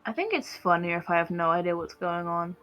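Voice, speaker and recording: A woman's voice: lowish voice, expressive, a little fast, lo-fi sound. A young woman joking playfully and casually as she comments on a friend's gameplay over a voice call. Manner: playful, silly. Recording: voice call with faint game music.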